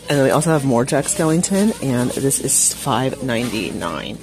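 A woman vlogging as she shops in a big home goods store, chatting casually to viewers with frequent gasps and excited exclamations. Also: very deep voice, moderate pace, poor audio. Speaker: shopping vlogger. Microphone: phone camera held while shopping.

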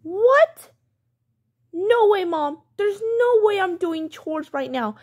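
Woman doing a bratty whiny little girl, super high voice, swoopy, drags words out in a homemade skit. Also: lively intonation, a little mellow, exaggerated voice. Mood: whiny, defiant. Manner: whining, petulant, drawn-out protests. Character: whiny, defiant young daughter refusing chores. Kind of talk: homemade pretend-play skit.

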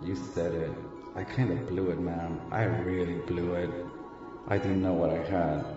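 A man's voice: sits low, fairly even intonation, unhurried. A man acting in an audio drama as a dead young street tough, a defensive, regretful big brother talking in slang with Spanish touches. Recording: muffled, echoey lo-fi audio drama recording. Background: loud background music.

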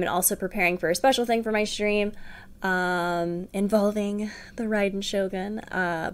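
A young woman streamer hosting a casual game reaction, bubbly and excitable with lots of fillers, at home on a desk mic. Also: mid-range voice.

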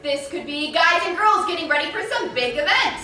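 A young woman acting as an emphatic, theatrical lecturer in a scripted stage comedy, with a deliberate delivery. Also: very high voice, expressive, pushed and bright, soft background music, heavily acted. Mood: emphatic explaining. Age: young adult. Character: lab-coated female lecturer, theatrical and emphatic. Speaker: stage actor playing a lecturer. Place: large theatre stage, indoors. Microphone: distant camera microphone. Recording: echoey theatre, far off, audience noise.

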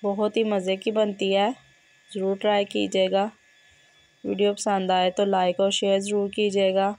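A woman with a hoarse, fevered voice, hosting a home cooking tutorial and giving steady step-by-step instructions in a kitchen. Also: medium pitch, normal speed. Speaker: cooking channel host.

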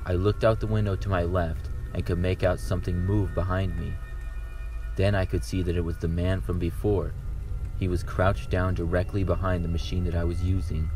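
A man reading true horror stories aloud in a calm, steady first-person narration. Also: sits low. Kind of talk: scripted horror story narration.

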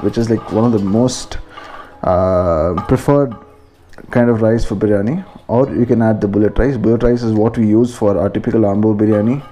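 A man's voice: on the low side, warm tone. A man with an Indian accent giving a casual, informal step-by-step cooking narration as a home vlogger in a kitchen.

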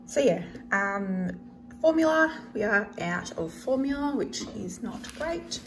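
A woman's voice: medium pitch, lively intonation, slow pace, background noise. A woman with an Australian accent chatting casually to camera as a vlogger, unscripted and often trailing off mid-thought. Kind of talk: casual grocery haul chat to camera.

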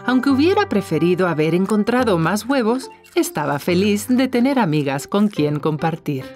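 A woman's voice: mid-range voice, big pitch swings, moderate pace, darkish tone, soft background music, natural voice. A woman narrating a scripted children's cartoon story for young listeners.

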